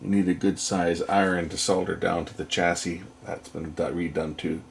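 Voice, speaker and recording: A man's voice: sits low, flat delivery, normal speed, vocal fry, slight background noise. A man giving unscripted, hands-on repair commentary, explaining matter-of-factly and thinking aloud as he works. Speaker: electronics repairer and video host. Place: indoors at a repair workbench.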